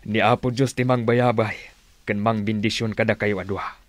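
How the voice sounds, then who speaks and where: Man playing a solemn low-voiced Filipino uncle. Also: fairly even intonation, normal speed, dry recording, lightly acted. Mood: solemn, concerned. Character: solemn, devout older uncle giving his blessing. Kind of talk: radio drama acting.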